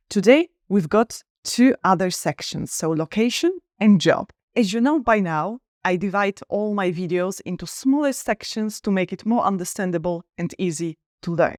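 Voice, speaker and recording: A woman teaching an online English lesson, clear, upbeat and encouraging, slowing down to stress example phrases. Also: mid-range voice, dry recording, studio quality.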